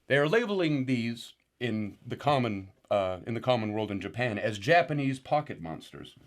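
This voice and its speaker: An actor playing a rambling paranoid uncle who is a guest on a conspiracy show, with sudden excitable outbursts. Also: male voice, mid-range voice, normal speed, close to an everyday voice. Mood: rambling indignant. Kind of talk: deadpan parody talk show.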